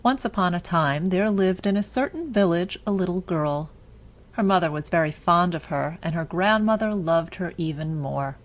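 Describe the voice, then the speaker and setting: A woman narrating a scripted bedtime story for children in a measured, deliberate manner. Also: fairly low pitch.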